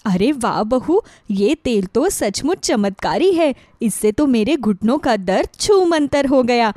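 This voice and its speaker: A woman's voice: a little high, animated, measured pace, mellow, no echo, clean studio sound. A woman playing an affectionate older mother-in-law who frets about her household in a moral story. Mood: fond. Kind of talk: acted dialogue in a moral story.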